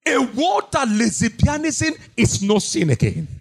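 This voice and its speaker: A man preaching a fiery sermon, shouting and chanting in a sing-song cadence, repeating phrases and breaking into tongues. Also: extremely high pitch, sing-song, measured pace, gravelly, slightly rough.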